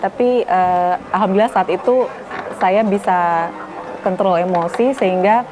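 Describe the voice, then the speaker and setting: A woman's voice: medium pitch, a little slow. A woman with an Indonesian accent answering as an air traffic controller, hesitating and mixing in English technical terms. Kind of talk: interview answer with English jargon. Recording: interview with clear background music.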